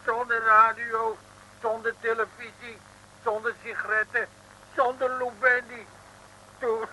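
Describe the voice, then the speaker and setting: A man's voice: very high voice, flat delivery, unhurried, bright, forceful tone, exaggerated voice. A man playing a lonely, timid abominable snowman who whines and pleads in a comic Dutch radio serial. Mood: plaintive pleading. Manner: whining, pleading. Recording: noisy old radio recording.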